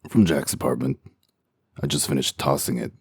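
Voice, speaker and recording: Man playing a very deep, gruff wolf detective. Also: steady tone, moderate pace, dry recording, exaggerated voice. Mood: dry, matter-of-fact. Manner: dry, sardonic, terse. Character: gruff, cynical wolf detective in human guise. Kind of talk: comic dub voice acting.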